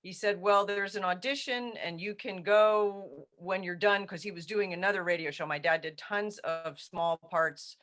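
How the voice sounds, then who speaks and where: A woman telling a personal anecdote on a video call, deliberately, quoting the people in it. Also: medium pitch, a bit bright. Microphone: video call microphone.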